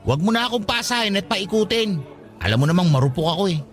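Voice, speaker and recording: A man's voice: high voice, animated, fast talker, faint music behind. A man playing a short, insecure, lovestruck young worker in a Tagalog radio romance, sulky and guarded in his talk. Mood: hurt. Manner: sulky, guarded, self-pitying.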